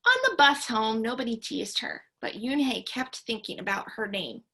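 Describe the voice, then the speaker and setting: A woman teacher reading a picture book aloud to children with expression, pausing to explain things along the way. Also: a little high, creaky. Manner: expressive, pauses to explain to young listeners.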